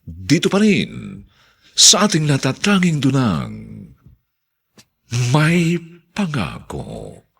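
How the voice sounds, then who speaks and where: A man with a Filipino accent narrating a radio drama theatrically, with a gravelly, husky voice. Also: medium pitch, wildly swooping pitch, fairly bright tone. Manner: theatrical, drawn-out delivery. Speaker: narrator and announcer.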